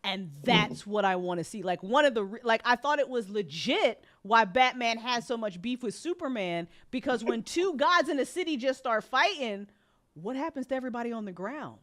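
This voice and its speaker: A woman's voice: fairly high pitch, animated, normal speed, fairly bright tone. A woman in a casual, opinionated fan discussion, talking bluntly and emphatically in slangy, loose phrases. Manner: emphatic, blunt, sweary, punctuated with "like".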